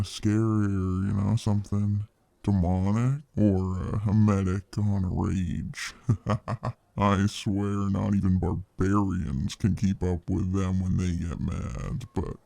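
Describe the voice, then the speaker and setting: A man's voice: low-pitched, flat delivery, takes it slowly, creaky, warm tone, dry recording, exaggerated voice. A man playing a friendly, easygoing fantasy tavern bartender who chats casually and folksily to the listener in an ASMR roleplay. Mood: chatty, easygoing. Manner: laid-back, hesitant, folksy, chatty.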